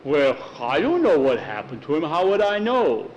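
A man's voice: mid-range voice, animated, moderate pace, dull audio, natural voice. A man voicing a bossy, defensive schemer in a told story, curt and blustering. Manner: curt, blustering, stammering denials. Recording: clearly noisy recording.

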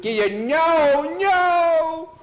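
A man's voice: high voice, expressive, moderate pace, slight background noise, voice pushed up. A man voicing a plucky boy captive in a told adventure story, urgent and coaxing. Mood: terrified.

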